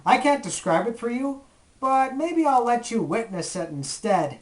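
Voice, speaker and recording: A man's voice: extremely high pitch, very animated, a little slow, very noisy. A man hosting a retrospective review, giving scripted commentary with playful, theatrical comic asides. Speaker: retrospective video host.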